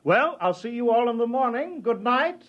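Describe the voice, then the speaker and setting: A dull old radio recording of a glib, nonchalant con artist. His high voice is theatrical and presumptuous, in 1950s drama style. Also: big pitch swings, normal speed. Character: brazen male shapeshifting con artist, smooth and presumptuous.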